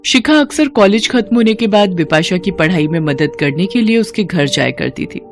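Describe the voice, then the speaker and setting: A woman's voice: mid-range voice, lively intonation, normal speed, soft background music. A woman storyteller reading a scripted moral tale as narration.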